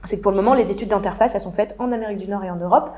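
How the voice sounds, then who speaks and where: A woman's voice: medium pitch, rapid-fire, darkish tone. A woman speaking on a design panel, sharing firm opinions with frequent hesitations and restarts. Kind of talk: casual panel discussion.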